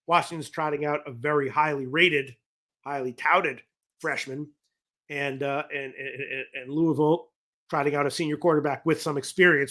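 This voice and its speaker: A man hosting a sports betting talk show, rambling and correcting himself as he reads viewer comments, his voice going hoarse. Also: on the high side, a bit bright. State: losing his voice. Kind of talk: casual betting talk on a livestream.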